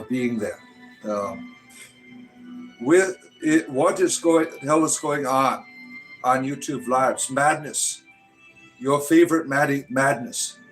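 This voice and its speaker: A man's voice: medium pitch, fairly bright tone, poor audio. A man in his fifties hosting a casual livestream, chatting with viewers in a rambling, joking way full of fillers. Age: 50s. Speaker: livestream host. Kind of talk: casual live-chat talk answering viewers.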